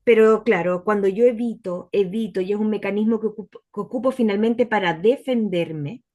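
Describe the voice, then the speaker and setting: A woman's voice: medium pitch, moderate pace, dark tone. A woman speaking as a guest in a casual conversation, reflecting aloud and sometimes stopping to search for words.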